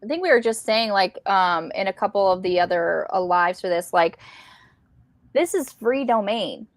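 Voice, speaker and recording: A woman's voice: mid-range voice, lively intonation, moderate pace, dry recording. A woman, co-hosting a casual live discussion about books. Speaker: co-host of a book discussion livestream.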